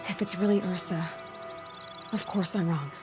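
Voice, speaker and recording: A woman's voice: fairly low pitch, normal speed, over music, close to an everyday voice. A woman acting as a determined, inquisitive young huntress in a video game, terse and analytical as if thinking aloud. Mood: analytical, urgent.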